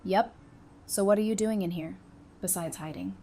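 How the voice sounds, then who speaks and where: A woman's voice: medium pitch, normal speed, warm tone, close to an everyday voice. A wry former psychiatrist teases and needles in easy banter, played by a woman. Her voice sits in the middle range. There is faint noise under the recording of this fan audio drama. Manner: dry, teasing banter. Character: Talissa, young woman psychiatrist, wry and teasing.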